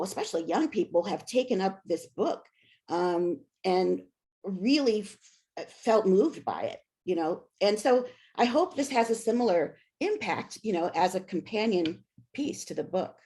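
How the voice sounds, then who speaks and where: A woman's voice: mid-range voice, lively intonation, normal speed, dark tone. An American woman, a scholar, answering interview questions casually, thinking aloud and interrupting herself. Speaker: scholar and author.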